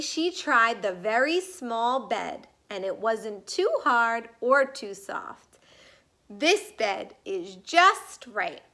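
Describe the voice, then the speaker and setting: A woman reading a children's story aloud, with expressive, deliberate emphasis on key words. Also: high voice.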